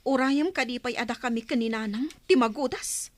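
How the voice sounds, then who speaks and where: A woman's voice: a little high, fairly quick, lightly acted. A woman with a Filipino accent playing a stern, principled young tribeswoman, a chieftain's daughter, in a radio drama.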